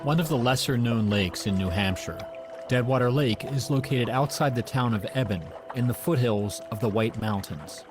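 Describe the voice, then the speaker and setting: A man delivers scripted documentary narration at a middling pitch. Clearly audible music runs underneath his voice as he reads like an audio encyclopedia narrator. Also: normal speed. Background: background music.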